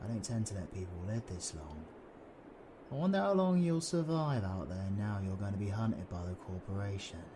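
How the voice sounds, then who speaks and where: A man playing a cocky, menacing, flirtatious British supervillain in an intimate roleplay audio drama, breathy and taunting. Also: on the low side, noisy background. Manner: taunting, breathy, swings between whispering and shouting.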